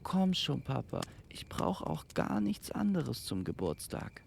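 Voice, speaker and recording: Man putting on an eager little boy, pitched up. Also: a little slow, gravelly, slight background noise, full character voice. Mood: pleading, eager. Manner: childlike, imploring, excitable. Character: eager, pleading little boy, affectionate and joyful. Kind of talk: storybook audio play.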